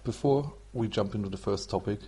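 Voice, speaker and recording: A man from Germany with a German accent, hosting a solo podcast in an explanatory, somewhat halting non-native way. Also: fairly low pitch, dark tone, slightly rough. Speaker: podcast host. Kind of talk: explanatory solo podcast talk about card game strategy.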